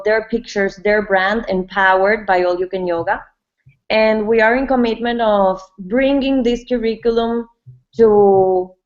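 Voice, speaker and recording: Fast-bursting woman from Venezuela, lo-fi sound. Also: on the high side, a little mellow. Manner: repeats words and restarts often, speaks in quick bursts. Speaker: yoga brand co-founder, guest. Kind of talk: casual interview answers. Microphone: webinar call mic. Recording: dull lo-fi webinar audio.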